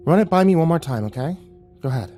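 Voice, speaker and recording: Man playing a fed-up mother, sharp and scolding, pitched up. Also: animated, fast talker, faint music behind, natural voice. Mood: angry. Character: angry, exasperated mother scolding her son.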